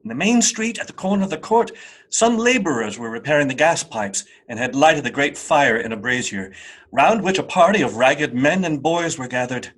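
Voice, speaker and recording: A man narrating a classic tale for a radio play in an expressive, literary, wry manner. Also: fairly high pitch, moderate pace. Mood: atmospheric. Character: storybook narrator, wry and atmospheric. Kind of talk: dramatic scripted narration.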